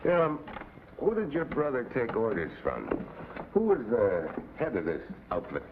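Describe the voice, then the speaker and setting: An actor playing a blunt, hard-nosed American special agent in a vintage television drama, clipped and sardonic. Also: male voice, medium pitch, huge pitch swings, a little slow. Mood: probing. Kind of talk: 1950s television drama acting. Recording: tinny, hissy vintage TV soundtrack.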